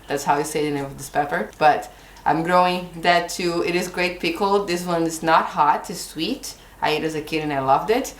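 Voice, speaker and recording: A woman's voice: low-pitched, normal speed, echoey room. A woman in her thirties with a Brazilian Portuguese accent, chatting casually to camera at home about her garden plans. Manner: chatty, gestures while holding up seed packets. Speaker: gardening vlogger. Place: indoor kitchen corner at home.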